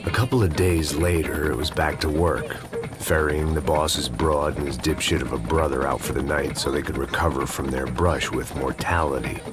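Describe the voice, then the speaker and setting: An actor playing a weary, cynical middle-aged bodyguard, narrating a game in a deadpan, hardboiled way. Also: male voice, a bass voice, normal speed. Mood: weary, bitter. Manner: deadpan, sardonic, world-weary. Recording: loud music behind the voice.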